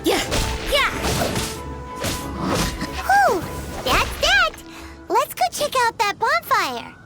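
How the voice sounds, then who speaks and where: A woman voicing a tiny, childlike fairy companion in a fantasy game, perky and excitable with a squeaky voice. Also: unhurried, loud music behind the voice, full character voice. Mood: excitable, nervous. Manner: perky, exclaiming, cartoonish. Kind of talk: scripted fantasy game acting.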